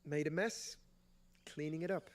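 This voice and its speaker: Man acting stubborn young wizard son, fairly high voice, terse, guarded, audio drama. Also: fairly even intonation, a little slow, a little hiss or hum, lightly acted. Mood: defensive. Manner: terse, defensive.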